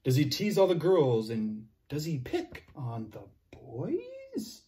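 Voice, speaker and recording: A young man teaching young children, playful and animated, cheering them on and making sound effects while he reads a picture book aloud in a classroom. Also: fairly high pitch, takes it slowly. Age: young adult. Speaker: preschool teacher. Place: classroom with word cards on a board.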